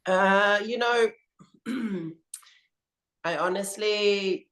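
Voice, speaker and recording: A woman rugby coach answering interview questions in a slow, earnest, reflective way. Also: medium pitch, a bit bright. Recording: roomy echo.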